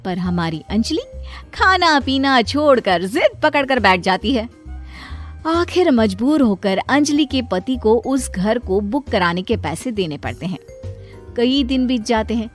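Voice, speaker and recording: A woman's voice: a little high, very animated, a little slow. A woman reading scripted narration for a cartoon story as its narrator. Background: background music.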